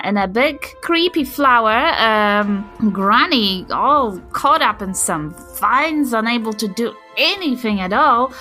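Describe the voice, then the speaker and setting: Lively bright New Zealand woman host. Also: on the high side, rough recording. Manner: lively and playful, reading promos with energy. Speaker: podcast host. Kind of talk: upbeat show intro and recap.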